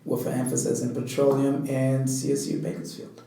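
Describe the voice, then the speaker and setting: Casting note: young male student guest from Angola, dark gravelly voice, thoughtful. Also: medium pitch, monotone, a little fast. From Angola, raised in South Africa. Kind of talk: casual discussion. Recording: echoey room, distant mic.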